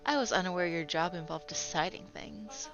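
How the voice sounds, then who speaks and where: A woman's voice: fairly low pitch, expressive, normal speed, dull audio, over music, pitched below the performer's own voice, lightly acted. A woman voicing a pompous man in charge, who lectures his workers condescendingly.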